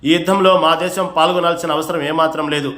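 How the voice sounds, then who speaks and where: A man with a somewhat high voice delivers a scripted news report. His delivery is formal and emphatic, like a voice-over for a bulletin. The sound is clearly roomy and echoing. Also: steady tone, fast talker, a bit bright. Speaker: news narrator.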